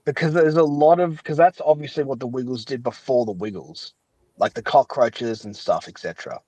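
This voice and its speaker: Guy chatting on a podcast, medium pitch, dry sound, kinda rambly and opinionated. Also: normal speed, a little mellow, no room sound, rough recording. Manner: rambling, opinionated, frequently qualifies his points. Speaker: podcast guest. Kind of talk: casual chat.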